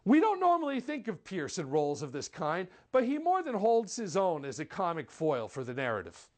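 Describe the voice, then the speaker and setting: A film critic delivering a scripted movie review in an articulate, measured, wry manner. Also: medium pitch.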